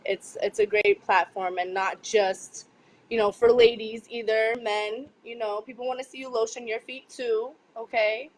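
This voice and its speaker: A woman's voice: on the high side, normal speed, poor audio. A woman appearing as a featured guest on a live show, talking casually with lots of fillers. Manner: chatty, relaxed, filler-heavy. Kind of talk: casual talk.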